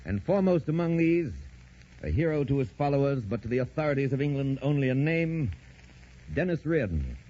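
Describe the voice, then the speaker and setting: A man's voice: mid-range voice, big pitch swings, a little fast. A man narrating a scripted drama as a vintage radio host, in a solemn, formal manner. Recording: muffled, faintly noisy old radio recording.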